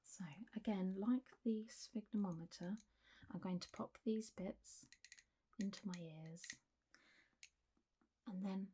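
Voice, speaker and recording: A woman with a soft, mellow voice that is breathy and often slips into whispering. She plays a clinic doctor in an ASMR roleplay. Her manner is gentle and reassuring, and she takes her time, as if easing a patient through a check-up. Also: medium pitch. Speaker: ASMR performer role-playing a clinic doctor.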